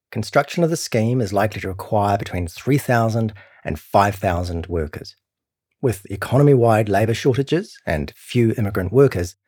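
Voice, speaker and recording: A man with a New Zealand accent reading a scripted long-form news feature as narrator, in a measured, serious way. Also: lowish voice, darkish tone, husky, no echo, clean studio sound. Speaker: narrator of a long-read journalism podcast.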